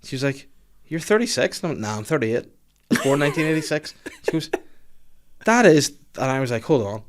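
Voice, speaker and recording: A man's voice: medium pitch, sing-song, brisk. A man, hosting a casual podcast chat, joking and swearing freely. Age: 30s. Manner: jokey, swears freely, acts out quotes. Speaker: podcast host.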